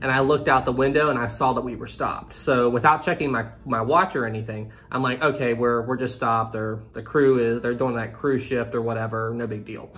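A young man in his twenties telling a travel story to camera in a small room, animated and conversational. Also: mid-range voice, fairly quick, few pauses. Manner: animated, conversational, addresses viewers directly. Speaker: travel vlogger.

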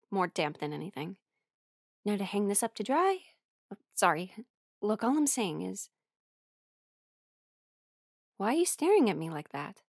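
A woman playing a friendly, teasing young musician in an audio roleplay, speaking in a casual, halting, intimate way. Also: medium pitch, slightly rough, dry recording, studio quality, heavily acted. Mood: casual, chatty. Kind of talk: intimate conversational roleplay acting.

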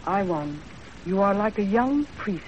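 A woman's voice: mid-range voice, animated, a little slow, darkish tone, close to an everyday voice. A woman playing a self-possessed, sceptical young servant in a composed, plain-spoken 1930s radio acting style. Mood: cool, sceptical. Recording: muffled, faintly noisy 1930s radio broadcast.

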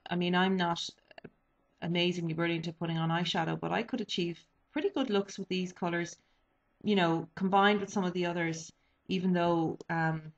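A woman's voice: on the low side, gravelly. Chatty woman with a cold, lo-fi webcam. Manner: chatty, rambling, many ums and restarts. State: sniffly with a cold. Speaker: beauty vlogger. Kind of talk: casual product review. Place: small bedroom indoors. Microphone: webcam built-in mic. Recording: dull lo-fi webcam audio.

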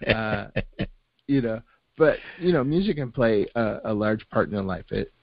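An older man with a lowish voice hosts a podcast, talking loosely and filling gaps with "uh" and "you know". The recording is close and dry with a dull, slightly muffled top. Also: normal speed, no room sound. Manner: relaxed, full of fillers like "you know" and "uh". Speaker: podcast host. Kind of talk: casual chat.

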